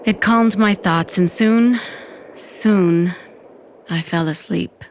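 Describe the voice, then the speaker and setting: A woman's voice: mid-range voice, slow, noisy background. A woman playing a sardonic undead woman, narrating an audio fiction in the first person. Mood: nostalgic. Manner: wry, dramatic, peppered with Spanish exclamations. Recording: music bed under the voice.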